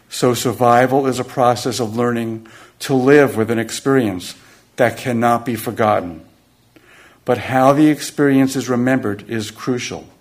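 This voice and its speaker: A man reading a prepared passage aloud in a steady, earnest manner. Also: medium pitch.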